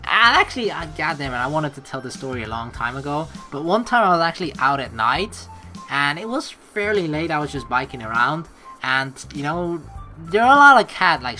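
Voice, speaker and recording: A woman hosting a let's play, mixing casual, rambling commentary with reading the game's text aloud, expressive and quick to swear. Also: low voice. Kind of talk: casual game commentary with read-aloud narration.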